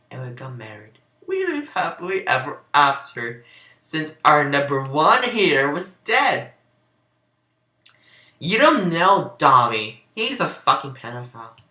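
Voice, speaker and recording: Slow-paced man, bright voice in an echoey room. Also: high voice. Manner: sarcastic, sweary, often breaking into laughter. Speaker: amateur reader of fan fiction. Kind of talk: dramatic reading with mocking asides. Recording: roomy, echoey home recording.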